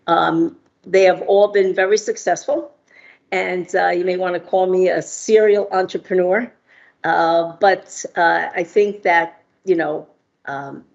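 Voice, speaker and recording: A woman's voice: fairly low pitch, a little mellow, lo-fi sound. A middle-aged woman from Argentina giving a talk as an entrepreneur, friendly, with many ums and uhs. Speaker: guest speaker, entrepreneur. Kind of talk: prepared remarks with fillers.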